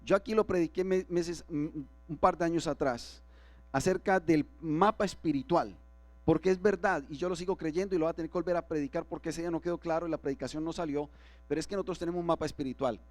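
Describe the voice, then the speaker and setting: A man's voice: fairly high pitch, fast, a little mellow, no echo, faint music behind. A man preaching as pastor to his congregation, often asking them for agreement. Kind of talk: sermon. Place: church.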